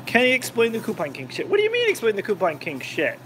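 Man, high voice, animated, rambling jokey chat, home kitchen, faint hum. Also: fast. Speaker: cooking vlogger. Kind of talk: casual cooking livestream chat.

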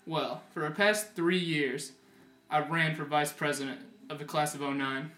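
A man's voice: on the high side, fairly even intonation, moderate pace, bright, forceful tone. A teenage young man giving a humorous self-introduction to a camera indoors. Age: teens. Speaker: high school class officer, pageant contestant. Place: small wood-panelled room, indoors. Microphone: camera a few feet away. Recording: roomy camera sound, faint noise.

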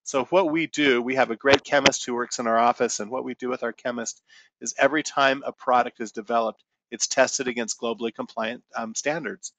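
A man's voice: medium pitch, steady tone, brisk, fairly bright tone. A man presenting products in a salon-business webinar, talking conversationally and correcting himself as he explains. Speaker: company presenter. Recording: dull lo-fi webinar audio.

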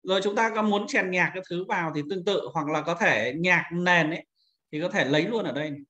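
A man instructing in a screen-recorded software tutorial, talking informally and pointing things out step by step as he demonstrates. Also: high-pitched, quick pace, muffled recording. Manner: informal, repeats pointing words while demonstrating.

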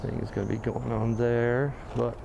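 An American man with a gravelly voice of ordinary pitch narrates casually as he walks. He thinks aloud, pausing often and filling gaps with small hesitations. His camera mic outdoors picks up clear street and traffic noise. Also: muffled recording. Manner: relaxed, thinking aloud with frequent pauses and fillers. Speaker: videographer on a walking site tour. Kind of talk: casual walking commentary. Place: outdoor construction site in a city plaza. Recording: outdoor camera audio with noticeable background noise.

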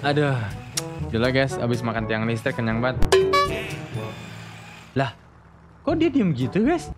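A male gaming host. He talks in a playful, slangy way with swooping, lively pitch. Music plays clearly behind his commentary. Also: unhurried. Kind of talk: casual gameplay commentary.

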